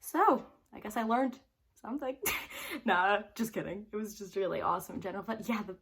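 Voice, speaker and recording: A teenage girl talking straight to the camera in a loose, chatty vlog. She rambles happily, fills her sentences with "like" and breaks into giggles between phrases. Her voice sits at an ordinary middle pitch for a girl. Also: a little fast, fairly bright tone, husky. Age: teens. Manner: bubbly and rambling, full of "like" fillers. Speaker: vlogger. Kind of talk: casual storytelling to camera.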